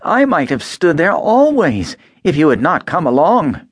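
Tin woodman, man voicing, high and slow, gentle and earnest, dry close sound. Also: huge pitch swings, breathy edge, no echo, full character voice. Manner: slow, drawn-out, high pleading. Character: creaky, polite, grateful male tin woodman.

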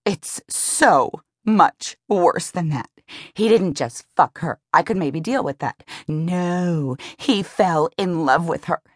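A woman's voice: expressive, takes it slowly, no echo, clean studio sound. A woman voicing a petite, heartbroken young woman who rants and swears about her cheating boyfriend. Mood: bitter ranting. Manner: high-pitched, swearing, bitter. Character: distraught young woman, petite, foul-mouthed, furious.

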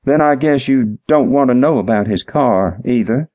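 An eager, slow-witted hotel night manager in his sixties, acted by a male narrator, pitched up for a crime audiobook. He talks in simple, literal, ungrammatical folksy phrases. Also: lively intonation, a little mellow, lo-fi sound, close to an everyday voice.

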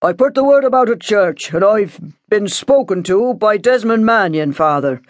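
A stern, middle-aged father as a woman narrator acts him out lays down the law in a curt, sharp tone. He is bossy and domineering, with lively swings of pitch, in a dry storybook recording. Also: moderate pace, no echo, natural voice. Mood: firm.

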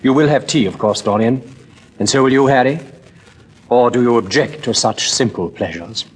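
A man's voice: mid-range voice, normal speed, husky, pitched above the performer's own voice, close to an everyday voice, low-quality recording. A man voicing a proud, earnest painter in a storybook reading, speaking in a heated, earnest way. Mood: brisk.

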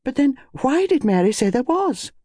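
An excitable upper-class English wife , as acted by a man, firm and bossy. The voice sits high and moves animatedly, in a close, dry storybook reading. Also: moderate pace, slightly rough, no echo, exaggerated voice. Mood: insistent.

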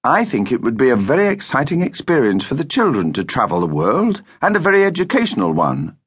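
A man puts on a calm storybook mother with a lifted pitch. She sounds reasonable and open-minded, coaxing gently. The intonation moves freely. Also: a little fast, husky, full character voice.

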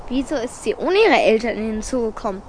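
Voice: medium pitch, sing-song, fast talker, a little hiss or hum. A female performer playing a curious, eager young child in a children's audiobook.

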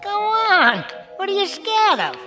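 A man's voice: very high voice, wildly swooping pitch, a little slow, pushed and bright, soft background music, heavily acted. A man voicing a small, cocky, wisecracking ventriloquist's dummy with brassy, taunting patter in a radio drama.

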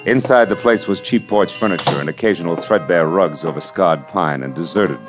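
An actor playing a wisecracking, hard-boiled private detective who narrates with a dry, wry delivery in a 1940s radio drama. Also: male voice, low voice, moderate pace, over music, natural voice. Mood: cool. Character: wisecracking tough male private eye, middle-aged. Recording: dull old radio broadcast recording.

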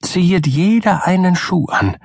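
A man's voice: high voice, animated, unhurried, vocal fry, darkish tone, husky, dry recording, clean studio sound, pitched above the performer's own voice, full character voice. A man voicing a tiny, friendly, magical little man from a storybook, cheerful and lilting. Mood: friendly, advising. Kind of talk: storybook character voice.

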